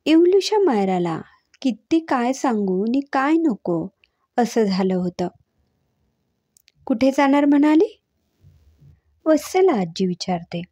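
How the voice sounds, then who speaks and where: A woman narrates a family story from a script. Her voice is fairly high yet mellow and husky, and she takes her time over each phrase. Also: big pitch swings, a little slow, no echo.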